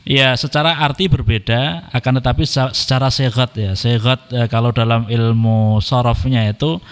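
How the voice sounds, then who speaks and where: A man, speaking as a podcast guest in a polite, measured way, with frequent "eh" and "ya" fillers. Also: medium pitch, steady tone, clear, resonant tone, big-room sound. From Indonesia. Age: 30s. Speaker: podcast guest, Quran reciter. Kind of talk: casual podcast conversation.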